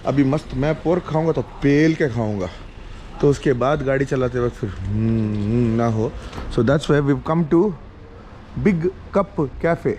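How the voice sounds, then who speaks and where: A man's voice: medium pitch, unhurried, warm tone, a little hiss or hum, poor audio. A man in his forties vlogging a road trip, chatting casually and laughing easily as he films on a handheld camera. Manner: chatty, mixes in English and Kannada, laughs easily. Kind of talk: casual travel vlog. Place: car at night, then a cafe.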